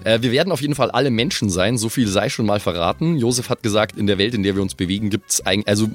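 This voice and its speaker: Low-voiced man chatting casually. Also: rapid-fire. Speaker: podcast co-host, tabletop role-player. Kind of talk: casual explaining chat.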